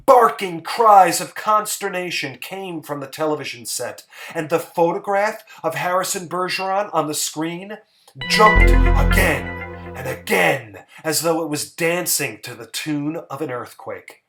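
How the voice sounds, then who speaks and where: A man giving a dramatic story narration, theatrical and emphatic, with pauses for effect. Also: a little high, a bit bright.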